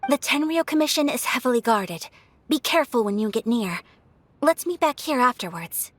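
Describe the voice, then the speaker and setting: A woman voicing a composed, dutiful young noblewoman who leads with formal, measured earnestness in a video game. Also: on the high side, lively intonation, slight background noise, lightly acted. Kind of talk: video game cutscene voice acting.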